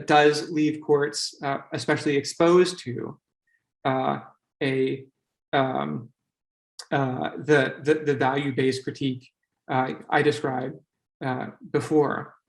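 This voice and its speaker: A man giving an academic conference talk as a panelist, speaking haltingly with many fillers between phrases. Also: medium pitch, vocal fry, echoey room.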